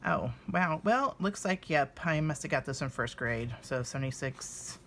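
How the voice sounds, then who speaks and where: American woman, 50s, informal children's story reader, hesitant chatty asides, lowish animated voice, noisy recording. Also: normal speed. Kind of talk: informal read-aloud with chatty introduction.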